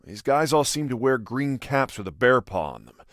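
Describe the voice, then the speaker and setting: A man's voice: mid-range voice, animated, normal speed, dry recording, studio quality, lightly acted. A man with an American accent playing a protective, exasperated cop and older brother in a video game, earnest, bossy and wry. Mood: earnest briefing.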